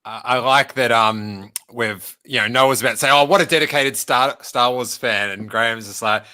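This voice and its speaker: A man's voice: medium pitch, normal speed, bright, forceful tone. A man hosting a casual livestream chat, joking with his guests and often stammering and restarting his sentences. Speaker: livestream host.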